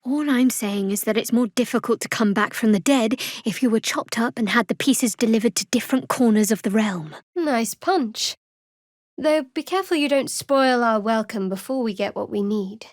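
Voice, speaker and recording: An actress voices a sardonic young woman, a cleric of a dark goddess in a fantasy video game. Her voice sits a little high, and she speaks in a wry, clipped way that keeps others at a distance. The sound is very dry, free of any room. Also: normal speed, no room sound, close to an everyday voice.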